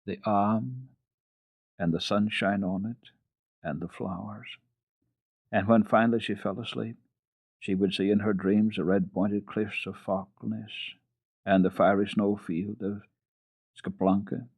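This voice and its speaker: Male storybook narrator, low husky voice, dramatic and deliberate, close dry sound. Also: fairly even intonation, darkish tone, no room sound. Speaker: reader of children's classics on a radio program.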